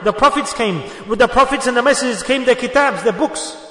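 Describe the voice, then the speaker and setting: A male preacher whose first language is Urdu delivers an exhorting sermon. His voice sits very high and sounds bright and forceful, and he drives points home with rhetorical questions and repetition. The recording carries the long reverb of a large hall. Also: brisk.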